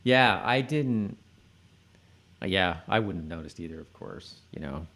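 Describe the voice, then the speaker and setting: A man's voice: sits low, animated, a little slow, creaky, fairly bright tone. A man co-hosting a podcast, chatting casually in a loose, somewhat hesitant conversational way. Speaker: podcast co-host. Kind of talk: casual chat about a TV episode.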